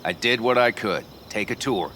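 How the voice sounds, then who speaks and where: A man's voice: on the low side, expressive, moderate pace, pushed and bright, a little hiss or hum. A man playing a practical, modest nomad mechanic in a video game, plain-spoken and helpful.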